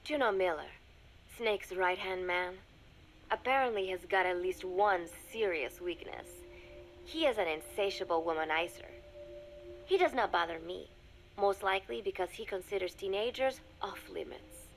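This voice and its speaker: An actress playing a young secret agent confiding in a recorded diary, speaking in measured, formal phrases that often drop to a murmur, wistful and resigned. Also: female voice, medium pitch, a little hiss or hum, lightly acted. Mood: wry. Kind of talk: scripted dramatic monologue, recorded diary.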